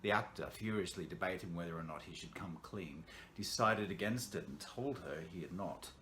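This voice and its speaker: A man reading a scripted horror story in a theatrical, suspenseful way with dramatic pauses, as an actor would. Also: deep voice, a bit bright, slight background noise.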